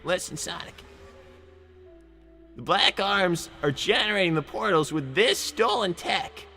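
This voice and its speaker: A man voicing a high-strung young cartoon fox inventor, stammering and melodramatically exasperated in improvised comedy. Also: unhurried, pushed and bright, soft background music, heavily acted. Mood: earnest explaining. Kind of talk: improvised in-character comedy livestream.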